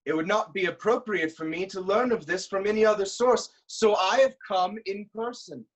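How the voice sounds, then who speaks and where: A male actor as a proud king of ancient Greek tragedy. His voice sits high for a man and has a husky grain. He addresses his people in a stately, declamatory way, full of concern. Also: moderate pace, rough recording. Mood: solemn, commanding. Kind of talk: staged reading of Greek tragedy.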